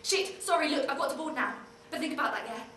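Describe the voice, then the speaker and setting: An actress playing a woman who leaves a low, urgent, secretive message. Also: fast, fairly bright tone, echoey room, far from the mic, slight background noise. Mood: conspiratorial. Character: hushed, urgent conspiratorial woman caller.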